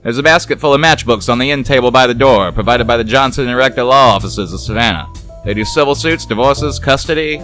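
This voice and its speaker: A man's voice: medium pitch, fast, a bit bright, soft background music, pitched above the performer's own voice, lightly acted. A man voicing a brash, sardonic ex-cop boat captain in a noir detective story, full of glib wisecracks. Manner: glib, sarcastic, rambling wisecracks.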